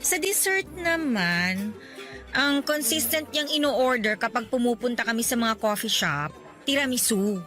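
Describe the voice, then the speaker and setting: A woman's voice: fairly high pitch, lively intonation, unhurried, sharp tone, soft background music. An actress playing a frank, protective young woman who is chatty and teasing with her friend in a radio drama.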